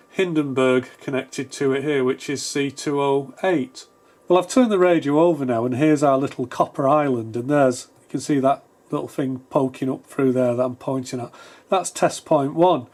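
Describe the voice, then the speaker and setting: A man's voice: medium pitch, a little mellow. Man giving a tentative, casual repair walkthrough. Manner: tentative, self-deprecating, explanatory. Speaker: electronics repair video presenter. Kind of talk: casual unscripted technical walkthrough.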